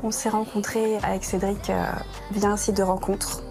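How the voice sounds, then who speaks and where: A woman in her thirties, an expectant mother, chatting in a lively, expressive way. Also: mid-range voice, normal speed, loud music behind the voice. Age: 30s. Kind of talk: casual interview chat.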